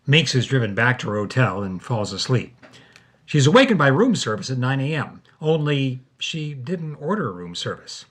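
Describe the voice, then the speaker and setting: Mid-pitched man narrating a story. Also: sing-song, moderate pace, a bit bright. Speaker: podcast co-host.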